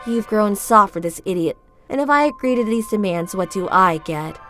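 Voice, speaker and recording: A woman's voice: medium pitch, expressive, normal speed, fairly bright tone, close to an everyday voice. A woman voicing a mocking, spiteful teenage girl villain who sneers and taunts. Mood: taunting. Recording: music behind.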